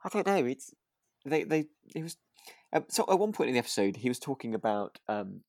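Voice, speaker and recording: A man co-hosts a relaxed chat show in a dry, intimate-sounding recording. His intonation moves around a lot. He feels his way through sentences, often stopping and starting again. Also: medium pitch, brisk, vocal fry, no room sound. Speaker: podcast co-host; actor. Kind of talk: casual chat recapping a radio drama.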